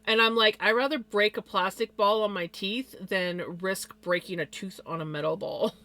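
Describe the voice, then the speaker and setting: Streamer, woman, bright tone, slight lisp, rambly casual chat full of ums and quick laughs. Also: mid-range voice. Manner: rambling, with many fillers and small laughs. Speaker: live streamer.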